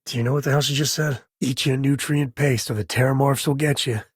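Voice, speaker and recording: An actor playing a rugged, easygoing middle-aged space explorer and father in a video game, with a husky, folksy, wry, conversational delivery. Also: medium pitch, steady tone, brisk, no echo, clean studio sound, natural voice. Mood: indignant. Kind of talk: video game companion dialogue.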